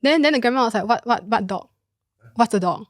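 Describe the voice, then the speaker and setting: Higher-voiced Singaporean woman, casual chat. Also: animated, fairly quick. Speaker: podcast co-host.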